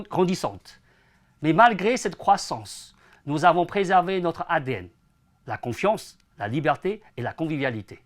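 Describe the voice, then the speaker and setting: Higher-pitched man in his forties, formal speaker. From born in China, grew up in France from age ten. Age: 40s. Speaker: interview guest, entrepreneur and association president. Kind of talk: prepared, formal interview answers.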